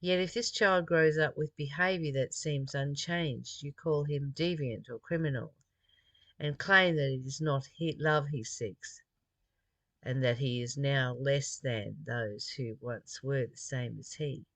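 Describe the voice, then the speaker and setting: A speaker from Australia reading a spiritual text aloud and adding personal reflections in a deliberate, earnest manner. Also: mid-range voice, fairly bright tone, dry recording.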